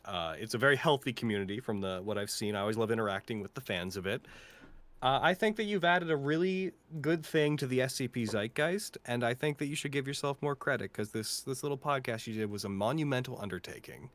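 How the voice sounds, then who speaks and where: A man's voice: mid-range voice, expressive, fast talker, a bit bright. A man chatting casually and sincerely on a live Q&A, full of heartfelt praise. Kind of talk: casual, earnest chat and reading aloud.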